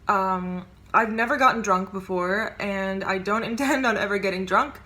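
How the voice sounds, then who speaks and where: Bright-voiced chatty woman in her twenties. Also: mid-range voice, normal speed. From United States. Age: 20s. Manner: chatty, upbeat, lots of fillers and asides. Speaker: vlogger. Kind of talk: casual talking-to-camera vlog.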